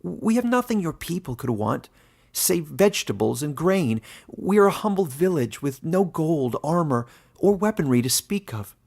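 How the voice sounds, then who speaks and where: A man's voice: fairly high pitch, sing-song, moderate pace, vocal fry, a little mellow, pitched above the performer's own voice, heavily acted. A man voicing an earnest, bewildered young villager in exile, protesting, in a fantasy story. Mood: incredulous. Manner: stammering at times, protesting.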